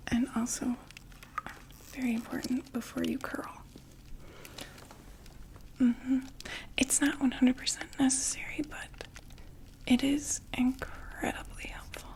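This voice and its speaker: Woman whispering asmr as a friendly makeup saleslady, gentle n soothing, kinda high with lots of vocal fry. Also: little pitch movement, slow pace, long pauses. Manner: gentle, soothing, repeats words softly. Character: friendly female vampire door-to-door makeup saleswoman. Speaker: ASMR roleplay performer. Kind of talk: whispered ASMR personal-attention roleplay.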